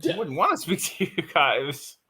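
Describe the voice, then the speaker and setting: A man's voice: on the high side, huge pitch swings, moderate pace, sharp tone, breathy edge. A young man from the United States calling into a show, laid-back and giggly, using casual slang. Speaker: guest caller. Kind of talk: casual chat on a call.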